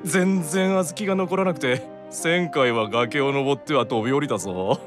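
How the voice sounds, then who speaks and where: A man's voice: fairly high pitch, moderate pace, music in the background, close to an everyday voice. A man playing a proud, earnest, worn-out demon brother with a stiff, dramatic delivery in a Japanese anime-style audio drama. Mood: intense, weary.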